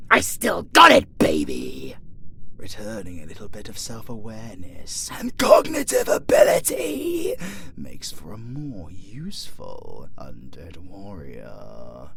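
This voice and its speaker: Wildly theatrical British necromancer. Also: male voice, lowish voice, heavily acted. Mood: smug. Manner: theatrical, swings between hushed murmurs and shouted incantations. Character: gleefully wicked, lustful male necromancer raising the dead. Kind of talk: theatrical audio roleplay acting. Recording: clearly noisy ambient background.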